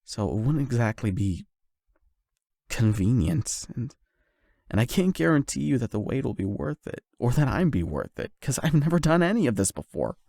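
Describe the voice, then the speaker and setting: Guy doing a nervous young dude confessing feelings, asmr roleplay, awkward and stammery, gravelly, really dry close sound. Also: mid-range voice, big pitch swings, a little mellow, no room sound. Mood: nervous, rambling. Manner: halting, awkward, self-doubting, with sighs and stammers. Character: nervous lovestruck young man confessing to an online friend. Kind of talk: intimate ASMR roleplay monologue.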